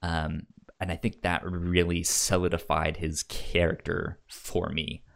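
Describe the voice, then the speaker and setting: Low-voiced, slow-paced man who stammers. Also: monotone, gravelly, a bit bright. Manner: stammering, often repeats words and syllables. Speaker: film podcast co-host. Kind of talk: casual film discussion.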